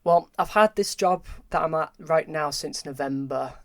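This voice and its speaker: A young man in his early twenties, a podcast guest talking candidly and haltingly, often repeating words. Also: a little high, no room sound, clean studio sound. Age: early 20s. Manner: halting, repeats words, open about struggles. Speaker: podcast guest, film graduate. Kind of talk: candid conversation.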